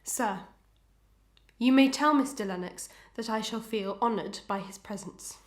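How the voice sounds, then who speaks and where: A woman playing an anguished, proud and dutiful wife in a Victorian melodrama, with formal archaic diction and fervent, deliberate delivery. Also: medium pitch, echoey room, lightly acted. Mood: formal, strained. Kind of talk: old-fashioned melodramatic stage acting.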